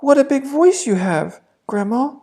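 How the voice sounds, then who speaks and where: Storyteller doing a tiny high innocent little girl. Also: lively intonation, unhurried. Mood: wondering. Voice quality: tiny, soft voice. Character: small, innocent, trusting little girl in a fairy tale.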